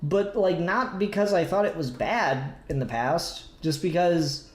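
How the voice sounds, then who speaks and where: Man, slightly high voice, casual podcast host, halting delivery, searching for words, echoey room. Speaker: podcast host discussing comics. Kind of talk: casual discussion and review. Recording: roomy echo, faint music behind.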